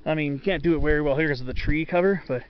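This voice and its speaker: A man's voice: fairly high pitch, very fast, muffled recording. A man from Southern California narrating casually as he hikes a forest trail, pointing out trees, plants and ridges, tired from the heat. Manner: rambling, informative, names plants and landmarks. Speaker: hiking vlogger. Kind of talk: casual narration while hiking. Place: outdoors on a forest mountain trail. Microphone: body-mounted action camera.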